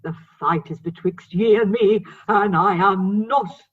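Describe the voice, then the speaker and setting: A woman's voice: medium pitch, measured pace, slightly rough. An actress playing a jealous, grandly wronged tragic woman in a comic radio play, declaiming theatrically over a video-call recording. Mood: anguished, defiant. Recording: tinny, band-limited video-call recording.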